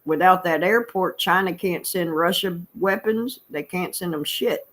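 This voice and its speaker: A woman's voice: fairly low pitch, measured pace, fairly bright tone. A woman from Florida with a Southern accent, chatting bluntly and laughing often. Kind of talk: casual chat.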